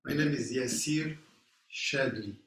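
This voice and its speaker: A man's voice: medium pitch, slow pace, a bit bright, slight background noise. A man from Morocco, speaking as guest teacher to a class in simple, storytelling phrases. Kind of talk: informal talk to a class. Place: meditation hall. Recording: echoey hall, mic far off.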